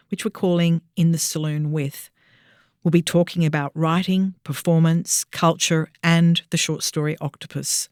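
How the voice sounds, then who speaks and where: A podcast host giving a measured, scripted introduction to a story episode. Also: mid-range voice, dry recording, clean studio sound. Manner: measured, listing items clearly.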